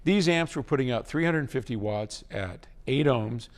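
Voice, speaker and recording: A man's voice: medium pitch, lively intonation, normal speed. A man hosting a question-and-answer talk, speaking in an informal, conversational way as he gives advice. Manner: conversational, informal, with frequent throat clearing. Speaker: host answering listener questions.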